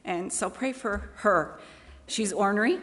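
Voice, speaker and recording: A woman's voice: medium pitch, unhurried, a bit bright. A woman church leader speaking in a plain, conversational way to a congregation during announcements and prayer. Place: large church meeting hall. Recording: echoey hall with long reverb.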